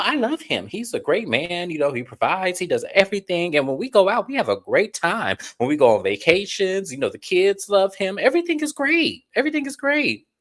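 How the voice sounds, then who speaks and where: Bright-voiced man hosting a live recap. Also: a little high. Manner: chatty, animated, addresses viewers directly. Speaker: host. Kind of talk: casual live recap commentary.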